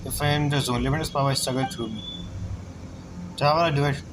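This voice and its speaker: A man doing casual game commentary and reading dialogue aloud, with halting, non-fluent phrasing. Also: medium pitch, fairly even intonation, noisy background. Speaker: gamer, let's-play commentator. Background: game music.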